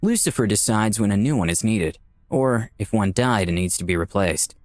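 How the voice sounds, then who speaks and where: Man acting wry, skeptical dead narrator, lively pitch. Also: normal speed, no echo, clean studio sound, faint music behind, close to an everyday voice. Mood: explaining. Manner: conversational, wry. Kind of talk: visual novel first-person narration.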